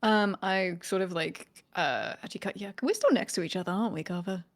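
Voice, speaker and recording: A woman playing a tabletop game, casually describing her character's actions in a halting, giggly way. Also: mid-range voice. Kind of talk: casual, improvised roleplay chat.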